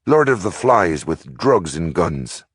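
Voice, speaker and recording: A man's voice: low-pitched, big pitch swings, slow, breathy edge, no room sound, studio quality, full character voice. A man reading aloud, voicing an old Irish priest grandfather who lectures in an Irish brogue. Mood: lecturing. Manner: lecturing, earnest.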